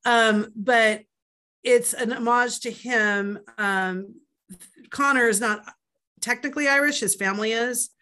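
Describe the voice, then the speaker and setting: A woman's voice: on the high side, measured pace, pushed and bright. A woman author chatting casually in a podcast interview, rambling with fillers and asides. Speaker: author guest.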